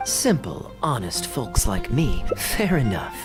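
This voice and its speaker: An actor playing a suave young male knight who talks in a smooth, playful, gently mocking way. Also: mid-range voice, sing-song, takes it slowly. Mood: relaxed. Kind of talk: game voice acting. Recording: game audio with music behind.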